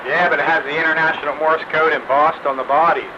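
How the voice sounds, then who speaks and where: A man making playful, casual small talk on a muffled CB radio with very loud static. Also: a little high, level tone, fast. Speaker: CB radio roundup participant.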